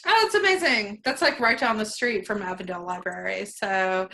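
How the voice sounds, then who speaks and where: A woman hosting a casual interview, warm and enthusiastic, laughing often with her guests. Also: medium pitch, normal speed, vocal fry, a little hiss or hum.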